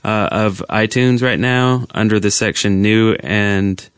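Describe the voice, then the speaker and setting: Male co-host, lowish voice, close dry sound, informal podcast banter. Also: slow, smooth, dry recording. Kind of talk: casual group chat.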